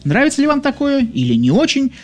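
A man's voice: very high-pitched, wildly swooping pitch, brisk. A man from Leningrad hosting a live music stream, chatting casually with viewers, hesitant with many fillers and a slight burr on his r sounds. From Leningrad, Russia. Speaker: radio-style music show host.

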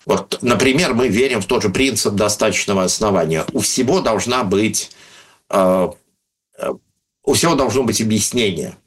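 A man explaining ideas in unscripted talk, thoughtful and pausing often with hesitations. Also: fairly low pitch. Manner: thoughtful, many hesitations, reads out listener questions. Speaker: speaker answering questions on philosophy of religion.

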